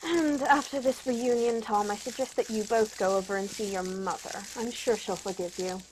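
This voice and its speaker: A woman's voice: fairly high pitch, fairly even intonation, normal speed, noisy background, lightly acted. A woman playing a shrewd male Victorian detective in a 1940s-style radio play, speaking formally and with authority. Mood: wry, brisk.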